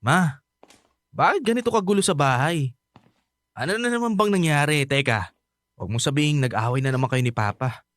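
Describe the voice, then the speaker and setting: A man's voice: fairly high pitch, lively intonation, brisk, long pauses, a bit bright, dry recording. An actor with a Filipino accent playing an emotional, pleading teenage son in a radio drama, stammering through his lines. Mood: confused, disbelieving. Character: distraught teenage son refusing to believe his father left.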